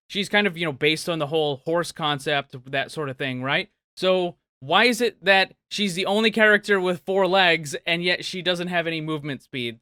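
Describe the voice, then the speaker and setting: A man's voice: fairly high pitch, sharp tone, dry recording, clean studio sound. A man hosting a gaming question-and-answer show, giving casual, opinionated commentary in a conversational way, thinking aloud as he goes.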